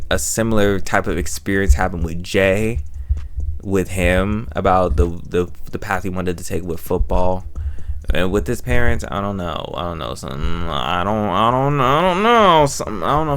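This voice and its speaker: A young American man with a low voice recaps a story off the cuff. He rambles as he reviews it, circling back and repeating phrases in a casual way. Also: a bit bright, clean tone. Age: young adult.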